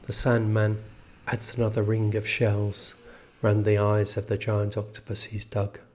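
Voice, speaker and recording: Husky, low-voiced man reading literary prose. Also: completely flat delivery, measured pace, darkish tone. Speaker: reader of a literary extract. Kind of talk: scripted literary narration.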